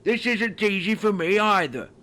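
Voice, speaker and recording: A man's voice: high-pitched, lively intonation, moderate pace, pushed and bright. A man with a Northern English accent voicing a crusty, foul-mouthed old uncle in a comedy dub. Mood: grumbling. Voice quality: gruff, scornful, swears a lot. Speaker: parody voice actor.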